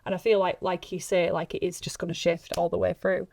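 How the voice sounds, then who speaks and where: A woman's voice: fairly low pitch, little pitch movement, fairly quick, vocal fry, darkish tone. A woman chatting casually and informally as an actress on a podcast. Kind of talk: casual chat.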